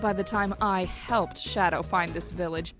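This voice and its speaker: A woman's voice: on the low side, fairly even intonation, unhurried, natural voice. A woman doing a sly teasing bat. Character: sly female bat spy, teasing and smug. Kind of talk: fan comic dub. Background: background music.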